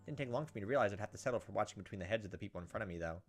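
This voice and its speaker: A man's voice: lowish voice, lively intonation, very fast, faint music behind. A man reading a visual novel aloud as a let's play host, with casual, crude joking asides.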